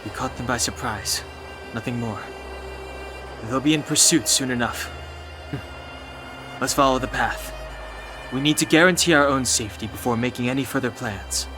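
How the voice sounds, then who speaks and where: A man voicing a calm, serious, guarded young warrior in an anime game, measured and terse. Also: mid-range voice, natural voice. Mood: wary. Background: game music.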